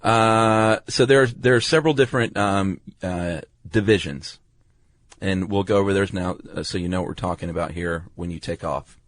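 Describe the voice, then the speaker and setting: Man, low pitch, casual podcast explaining, colloquial, slightly hesitant, dry close studio sound. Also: normal speed, dry recording. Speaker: podcast co-host.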